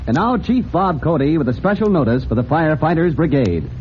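Dramatic vintage radio announcer, muffled sound. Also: male voice, a little high, sing-song, fairly quick. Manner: dramatic, earnest announcer delivery. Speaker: announcer and narrator of a radio serial. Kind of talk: scripted old-time radio narration. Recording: dull, noisy old radio recording.

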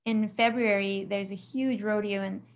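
A woman's voice: medium pitch, fairly even intonation, moderate pace. A woman from Mexico, a guest in a casual interview chat, who talks freely, laughs often and lets sentences trail off.

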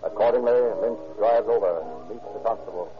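A man giving measured, dramatic scripted narration for a vintage radio crime drama. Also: fairly low pitch. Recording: dull old radio recording with music.

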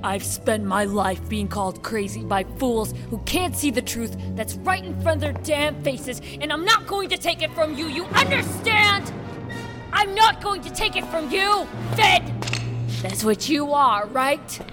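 An actress playing a menacing, volatile hostage-taker in a sci-fi audio drama, cocky, taunting and quick to snap. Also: female voice, sits high, animated. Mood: furious. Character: volatile, menacing female gunwoman holding a hostage. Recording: music under the voice.

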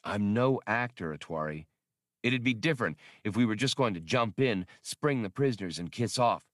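Guy doing a cocky space smuggler pilot for an audiobook, slangy, brusque, lowish lively voice. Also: moderate pace, no room sound, clean studio sound. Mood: dismissive.

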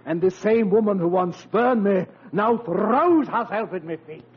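A man's voice: sits high, animated, unhurried, slightly rough, heavily acted. An actor playing a vain, womanizing old-school thespian in 1940s radio comedy style, plummy, pompous and declamatory. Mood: grandly declaiming. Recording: muffled, noisy old radio recording.

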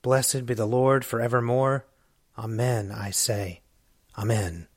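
A man reads scripture aloud in a slow, solemn, measured voice. His pitch sits in the middle range. The sound is close and dry, with no room around it. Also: steady tone, no room sound. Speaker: scripture reader. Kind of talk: reading a psalm aloud.

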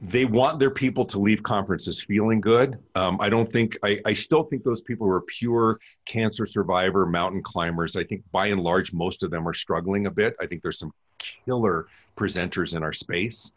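Deep-voiced husky man hosting a chat. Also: moderate pace, hardly any pauses. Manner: talkative, self-deprecating. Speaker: podcast host. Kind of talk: casual interview chat.

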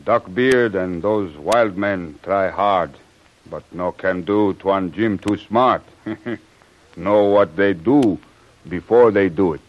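An actor playing a loyal, reverent servant in broken English, in old radio adventure style. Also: male voice, low-pitched, takes it slowly. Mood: devoted, proud. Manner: broken pidgin English, speaking of himself by name. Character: loyal East Indian servant, reverent, simple-spoken, boastful of master. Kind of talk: 1940s radio adventure acting. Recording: dull, band-limited old radio recording.

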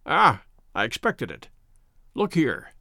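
A man voicing a cool, brilliant male detective who explains his reasoning crisply, like a professor to a class. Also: mid-range voice, wildly swooping pitch, takes it slowly, pushed and bright, no echo, studio quality, voice pushed down, exaggerated voice. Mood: calm assured.

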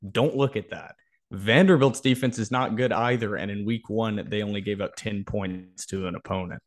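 Male sports podcast host, lower-pitched, opinionated and emphatic game analysis. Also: normal speed. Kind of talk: football preview analysis, conversational.

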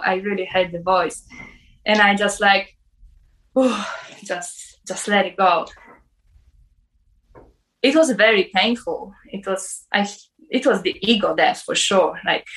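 Halting woman sharing an experience. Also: medium pitch, fairly bright tone, low-quality recording. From Poland. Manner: halting, many "like" fillers. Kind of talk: personal testimony.

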